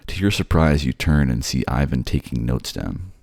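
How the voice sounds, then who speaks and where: A man's voice: a bass voice, lively intonation, moderate pace, gravelly, a little mellow, no echo, studio quality. A man with a slightly sleepy voice reading scripted second-person story narration in an ASMR style. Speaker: voice actor narrating a roleplay.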